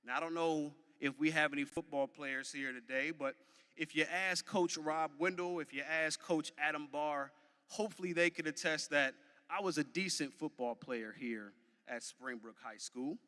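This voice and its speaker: A man in his early thirties with an American accent gives a motivational commencement speech, emphatic and deliberate, with repetition and dramatic pauses like a preacher. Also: on the high side, pushed and bright, smooth. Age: early 30s. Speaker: graduation commencement speaker. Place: large venue, graduation ceremony. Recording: long hall reverb from a PA.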